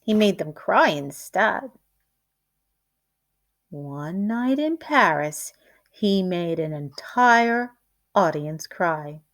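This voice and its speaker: A woman reading a children's picture book aloud like a teacher, in a deliberate, expressive storytelling way. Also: lowish voice.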